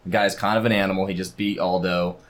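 A low-voiced man hosts a laid-back fight podcast. He talks quickly, trading chatty banter and opinions with his guest like a fan. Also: flat delivery. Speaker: podcast host. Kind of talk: casual podcast interview.

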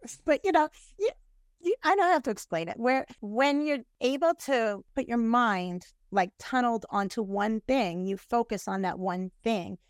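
A woman's voice: a little high, moderate pace, clear, resonant tone, no room sound, studio quality. A middle-aged woman, a real estate agent, talking in a casual interview over a video call. She talks with energy, laughs often and keeps saying "you know" and "right".

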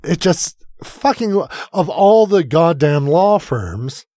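Slow-talking exasperated podcast man. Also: on the high side, big pitch swings, warm tone, dry recording, studio quality. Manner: swears freely, exasperated, trails off and restarts. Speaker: podcast co-host. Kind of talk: casual political chat.

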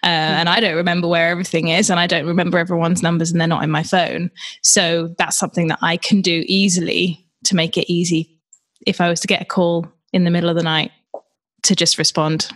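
A woman's voice: fairly low pitch, level tone, a little fast, fairly bright tone. A woman on a discussion panel giving practical advice while thinking aloud.

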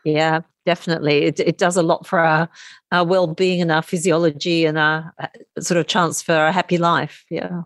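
A woman's voice: deep voice, level tone, moderate pace, no echo, studio quality. An Australian woman, a meditation teacher, speaking thoughtfully as a podcast guest with many "you know"s. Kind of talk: podcast interview.

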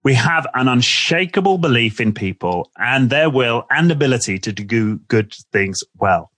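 A man with a husky voice hosts a conversational interview. He speaks informally, restarting phrases and tagging his points with quick questions. The sound is dry, with little room around the voice. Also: mid-range voice, expressive, fairly bright tone, no echo. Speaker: interview host.

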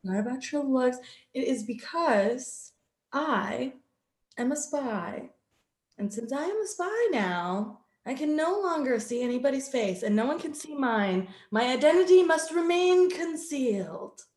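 A woman acts out a bossy, exasperated female spy in an improv comedy scene. Her voice is high and emphatic, with a scolding edge, recorded in a roomy space. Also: animated, a little slow, darkish tone. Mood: solemn. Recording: roomy, echoing room.

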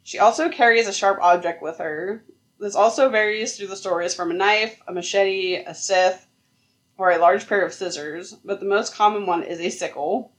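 A woman's voice: mid-range voice, moderate pace, low-quality recording. A woman co-hosting a podcast, telling a legend in a casual, rambling way and laughing often mid-sentence. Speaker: podcast co-host. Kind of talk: casual storytelling chat.

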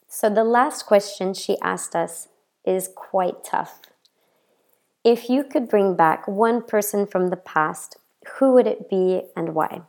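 A woman from the American South with a Southern accent, introducing herself as a language teacher in a friendly, measured podcast talk. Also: lowish voice, vocal fry, darkish tone. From Southern United States. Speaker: English teacher. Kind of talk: scripted podcast self-introduction.

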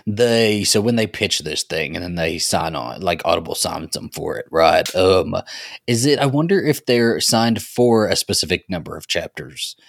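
A man's voice: low voice, animated, normal speed, vocal fry, dry recording, studio quality. A man co-hosting a casual podcast, tentative and hesitant as he thinks aloud. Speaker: podcast co-host. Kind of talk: casual review chat.

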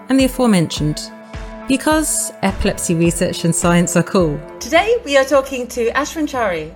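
A woman hosting a podcast who introduces her guest in an upbeat, chatty way. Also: medium pitch. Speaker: podcast host.

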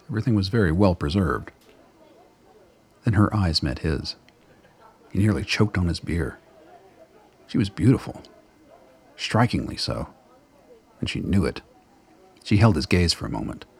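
Deep, gravelly male narrator. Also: a little mellow. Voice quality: gravelly, husky. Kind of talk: dramatic scripted storytelling.

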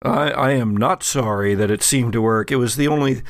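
A man's voice: mid-range voice, moderate pace, dry recording, studio quality, natural voice. A man playing a wary, weary federal agent in an improvised tabletop horror game, talking in a hesitant, colloquial way that trails off. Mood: weary, frank. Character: weary male FBI agent Owl, wary, reluctantly practical. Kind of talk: improvised in-character tabletop dialogue.

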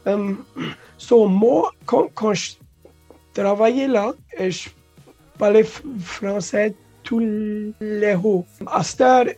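Slow, halting woman. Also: medium pitch, dark tone, faint music behind. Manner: halting, restarts words, mixes English into French, laughs often. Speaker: guest. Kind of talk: casual interview talk.

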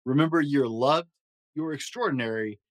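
A man narrating a children's picture book as a storyteller, reading aloud in an expressive, unhurried way. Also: mid-range voice. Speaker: storyteller, podcast host.

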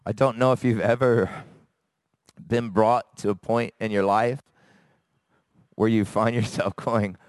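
A man from America, a church elder, preaching a sermon with a raspy, hoarse voice and earnest, searching pauses. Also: on the low side, fairly even intonation, a little mellow, no room sound. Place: church service. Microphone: handheld microphone.

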